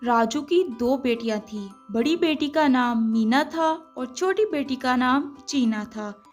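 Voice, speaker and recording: High-pitched woman narrating a children's story. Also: lively intonation, a little slow, soft background music.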